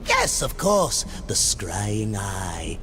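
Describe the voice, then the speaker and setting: Swooping, theatrical demon advisor. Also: male voice, fairly low pitch, wildly swooping pitch, drawn-out words, pushed and bright, faint music behind, heavily acted. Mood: ominous, sly. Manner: theatrical, ingratiating, formal. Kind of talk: video game cutscene acting.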